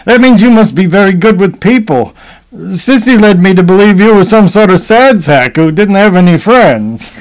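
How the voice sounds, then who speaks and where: A man's voice: high voice, expressive, moderate pace, low-quality recording. A man acting in a radio play as a grumpy, fussy American tourist husband who is easily charmed by women. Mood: flirtatious, eager.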